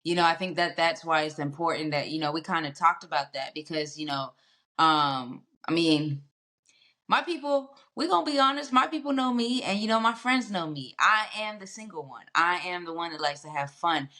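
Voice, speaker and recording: A woman's voice: on the low side, expressive, moderate pace, bright, forceful tone. A woman hosting a casual panel conversation over a video call, chatty and loose with lots of fillers. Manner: chatty, many fillers and restarts. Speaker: podcast host.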